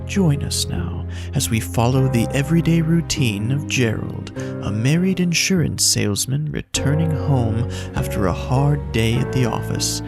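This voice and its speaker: A man narrating a scripted introduction to an audio drama in a measured, ominous manner. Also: mid-range voice, animated, creaky. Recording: loud music behind voice. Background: loud music.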